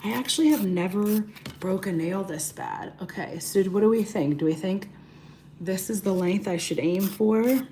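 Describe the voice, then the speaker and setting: A woman in her thirties, hoarse and raspy from losing her voice, chatting casually to livestream viewers during a nail tutorial and greeting them by name. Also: medium pitch, normal speed, warm tone, slight background noise. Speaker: beauty livestream host.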